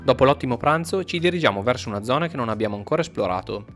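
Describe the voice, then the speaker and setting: A man's voice: mid-range voice, lively intonation, rapid-fire, fairly bright tone. A man narrating a travel vlog from a script. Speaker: travel vlogger. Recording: music under the voice. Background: background music.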